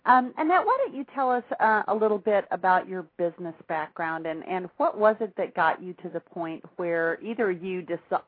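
A woman's voice: fairly low pitch, no room sound. A woman hosting an interview, chatty and encouraging, asking her guest open questions. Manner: chatty, encouraging, fills in with short acknowledgments. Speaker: interview host. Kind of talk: phone interview. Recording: tinny phone line.

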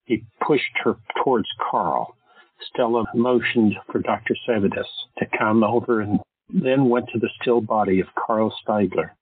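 A man narrating an audio drama in a steady storytelling manner. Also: lowish voice, moderate pace, husky, dry recording. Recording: tinny phone-like sound, faint music.

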